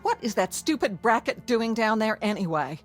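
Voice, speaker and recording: Swooping, scornful nagging wife. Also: female voice, a little high, big pitch swings, moderate pace, faint music behind. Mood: irritated scolding. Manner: scolding, sarcastic, complaining. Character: shrill, domineering, bigoted nagging wife, former model. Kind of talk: radio drama acting.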